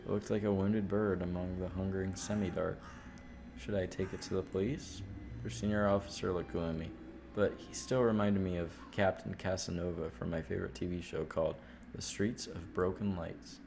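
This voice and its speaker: Low-voiced man narrating over loud music. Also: steady tone, normal speed, gravelly. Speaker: streamer playing a visual novel. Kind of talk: dramatic read-aloud narration of a horror game, with casual asides. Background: game music.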